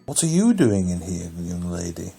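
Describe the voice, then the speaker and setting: A polite, patronising clergyman with a dark, lilting voice. He sounds genteel and mild, as a bishop in an audio drama. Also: low voice, sing-song, moderate pace, vocal fry, breathy edge, soft background music, heavily acted.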